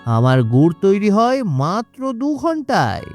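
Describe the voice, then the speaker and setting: A man playing a sly cartoon huckster, lively swooping delivery, slow-paced, boastful, music underneath. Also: sits high, exaggerated voice. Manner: boastful, brash, wheedling salesman. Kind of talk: cartoon story voice acting.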